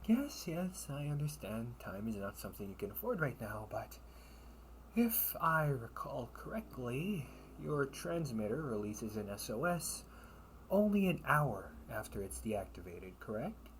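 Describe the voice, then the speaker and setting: Man playing a slow, swooping, suave older brother. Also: medium pitch, sing-song, a little hiss or hum. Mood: sly, knowing. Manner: theatrical, formal wording with teasing chuckles and drawn-out pauses. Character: suave, wealthy older brother, sly and teasingly affectionate, sci-fi. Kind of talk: solo audio roleplay addressed to the listener.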